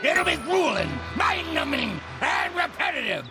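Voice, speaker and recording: A man's voice: very high-pitched, big pitch swings, unhurried, shouting, over loud music, full character voice. A man voicing a blustering, menacing ghost pirate captain in a cartoon game, with a theatrical pirate accent and a grandiose, bellowing manner. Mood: commanding, gloating.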